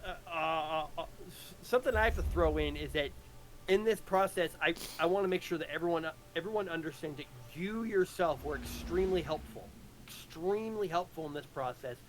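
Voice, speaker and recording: A man speaking casually as a guest writer, explaining his ideas haltingly and repeating words as he searches. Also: on the high side, poor audio. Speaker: guest, audio drama writer. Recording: very loud game battle noise behind.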